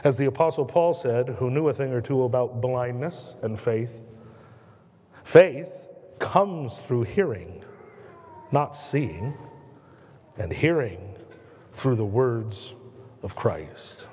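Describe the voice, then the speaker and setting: A man in his thirties with an American accent, preaching a sermon from the pulpit in earnest, slowing and dropping his voice on key words. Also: animated, warm tone, husky. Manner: earnest preaching, slows and drops low on key words, gestures a lot. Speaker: pastor. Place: church sanctuary at a pulpit. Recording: long church reverb.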